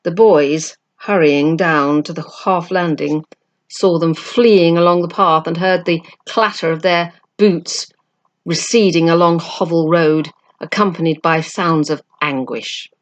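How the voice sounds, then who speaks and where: A woman with a slightly low voice reads a children's story aloud. She narrates expressively, as a storyteller who also acts out the dialogue. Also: fairly even intonation, measured pace.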